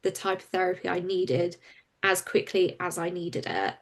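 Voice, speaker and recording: A woman, a campaigner and interview guest, speaking frankly and openly in a relaxed conversation. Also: on the low side. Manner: open and frank, quick and animated when recounting.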